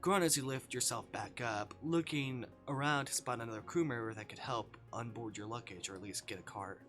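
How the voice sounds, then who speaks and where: A man with a bright, forward voice narrates a story game on his stream. He slips between reading and casual, salty commentary, close on the mic, with faint music underneath. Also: mid-range voice, a little fast. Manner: casual, swearing in asides. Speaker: livestreamer. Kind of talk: reading a visual novel aloud on a livestream, with casual asides.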